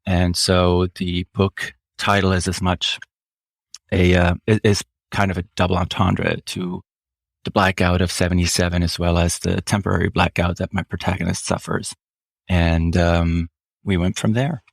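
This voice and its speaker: Deep gravelly man. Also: flat delivery, no room sound. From Switzerland. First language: German. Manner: measured, reflective, slows down often, frequent fillers. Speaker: author guest. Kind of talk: interview answers.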